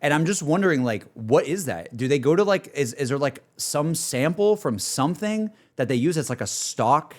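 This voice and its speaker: A man chatting casually as a streamer reacting to music, with an excitable, slangy, rambling way of talking. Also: fairly high pitch, normal speed, few pauses. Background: music playing behind the voice.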